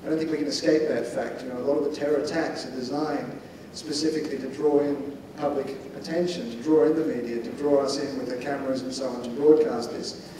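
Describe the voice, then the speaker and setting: A man giving a considered, discursive panel answer in a measured, explanatory and opinionated way. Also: mid-range voice, flat delivery. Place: large indoor conference hall. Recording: echoey hall, distant mic, faint noise.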